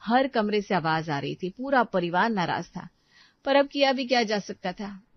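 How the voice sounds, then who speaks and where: A woman's voice: medium pitch, lively intonation, normal speed, dry recording, dull audio. A woman narrating a scripted story as a storyteller.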